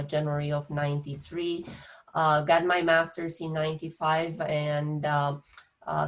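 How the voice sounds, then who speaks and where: Woman, Romanian accent, low voice, steady flat delivery, lots of ums and uhs, professor presenting. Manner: hesitant, many filler sounds. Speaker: engineering professor. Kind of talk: presentation on video call.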